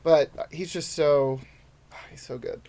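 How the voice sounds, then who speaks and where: A young man from New York City, a guest comedian chatting casually on a podcast, rambling and cutting himself off, often slipping into quick acted-out quotes. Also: a little high, fairly even intonation, dark tone. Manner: rambling, self-interrupting, quick to act out quotes.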